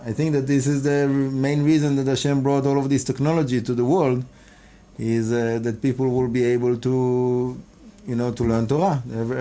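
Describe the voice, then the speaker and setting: Hebrew-native man with a mellow voice. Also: medium pitch. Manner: halting, restarts and repeats words often; earnest, devout phrasing. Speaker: rabbi and kabbalah teacher. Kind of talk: casual interview answers.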